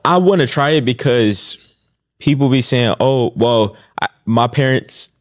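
A man's voice: mid-range voice, a little slow, no room sound. Laid-back young man, dry close sound. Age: young adult. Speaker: co-host. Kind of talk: casual chat.

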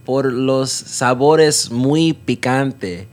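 A man's voice: medium pitch, very slow, darkish tone. A man whose first language is English, chatting as a podcast guest in hesitant learner's Spanish, full of fillers and self-corrections. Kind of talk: casual chat.

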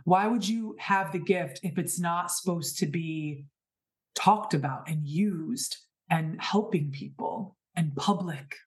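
A woman's voice: fairly low pitch, takes it slowly. A woman in her thirties hosting a podcast, giving an earnest, emphatic spiritual talk full of rhetorical questions. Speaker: podcast host. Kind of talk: inspirational spiritual monologue.

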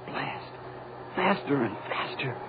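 An actor playing a frightened young crewman, anxious and halting, in 1940s radio drama style. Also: medium pitch, expressive. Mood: stunned, fearful. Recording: noisy, tinny 1940s radio recording.

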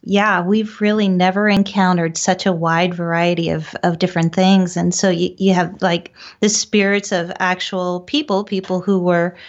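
A woman's voice: fairly low pitch, little pitch movement, moderate pace, few pauses. A woman speaking as an interview guest, recounting her experiences in a conversational storytelling style. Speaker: guest, paranormal researcher and medium. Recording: dull, lo-fi call audio.

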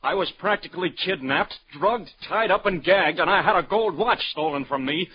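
An actor playing an indignant, stubborn ordinary husband from the Midwest in a vintage radio crime drama. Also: male voice, high voice, animated, moderate pace. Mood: indignant, demanding. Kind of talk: 1940s-50s radio crime drama acting. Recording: dull vintage radio, crowd noise behind.

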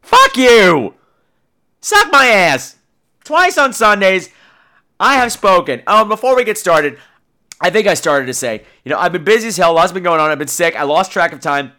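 A man's voice: sits high, moderate pace. A man hosting a podcast in a casual monologue, telling stories in a theatrical, catty and blunt way. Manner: theatrical, catty, animated storytelling; profane, blunt.

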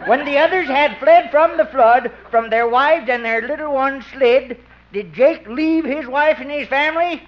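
An actor playing an earnest, self-important old horse doctor with hammy amateur theatrics, in 1950s radio comedy style. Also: male voice, very high voice, measured pace. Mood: grandly declaiming. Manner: eager, self-important, declaiming. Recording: muffled, noisy old radio broadcast.

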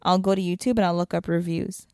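A woman's voice: on the low side, fairly even intonation, quick pace, a little mellow, clean tone, no room sound, clean studio sound. A young woman in casual conversation, chatty and rambling, filling her talk with "like" and trailing off mid-thought. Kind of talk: casual chat.

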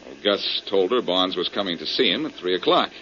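A clever sleuth from a vintage radio drama. His voice is lowish, animated and wry, on a muffled recording with some hiss. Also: fairly quick. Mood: explaining. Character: cool, clever male amateur detective hero. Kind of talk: 1940s radio crime drama acting. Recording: muffled, noisy old-time radio recording.

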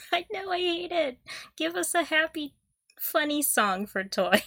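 A young woman with a bright, crisp-edged voice chats casually as a fan podcast co-host. Her talk is giggly and gushing, and the sound is dry, with no room echo. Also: high-pitched, big pitch swings, a little slow.

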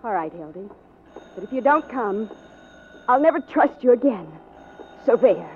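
An actress playing an anxious young fiancee, plaintive and nagging, in 1940s radio drama style. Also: female voice, a little high, lively intonation, moderate pace. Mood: reproachful. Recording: dull, noisy 1940s radio recording.

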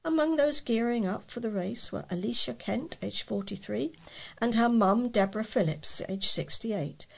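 Woman, very gravelly fry, husky tone, read-aloud local news, volunteer reader. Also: on the high side, expressive, normal speed. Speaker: volunteer newsreader.

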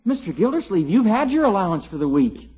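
A prim young son-in-law in an old radio comedy, earnest and thrifty. His high voice moves around a lot and has a slightly husky edge. The sound is muffled, like a 1950s broadcast. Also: sing-song, fairly quick, very noisy. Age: young adult. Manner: prim, deferential, moralizing. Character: earnest, penny-pinching young son-in-law, polite and preachy.

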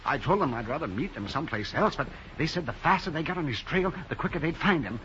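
An American actor playing a nervous, genteel, middle-aged club owner in a 1950s radio drama, hesitant and fussy. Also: male voice, a little high, animated, fast, a bit bright. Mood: uneasy. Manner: hesitant, flustered, fussy, swooping emphasis. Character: nervous, genteel older male club owner, evasive and prim. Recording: muffled, hissy 1950s radio recording.

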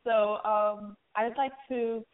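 A woman's voice: on the high side, fairly even intonation, slow. A woman hosting a casual, conversational interview in a chatty, effusive manner. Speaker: interview show host. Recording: tinny narrow phone-line sound.

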